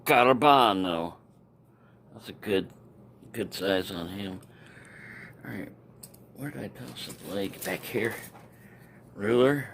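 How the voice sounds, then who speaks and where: A man's voice: lowish voice, creaky, fairly bright tone, slight background noise. A man giving a casual, unscripted product review, rambling and correcting himself as he goes. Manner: rambling, self-correcting, mumbling asides. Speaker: knife reviewer.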